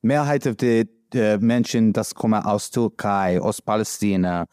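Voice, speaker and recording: A man from the United States with an American accent, speaking in a halting, searching way with many fillers, answering as an interviewee. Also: lowish voice, a little mellow, smooth, no echo, clean studio sound. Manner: halting non-native speech with many fillers. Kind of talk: conversational interview.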